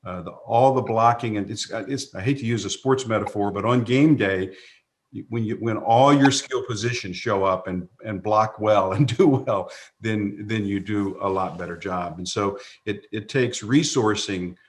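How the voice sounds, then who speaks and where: A man's voice: on the low side, normal speed. A man speaking as a panelist on a video call, folksy and rambling, with many restarts.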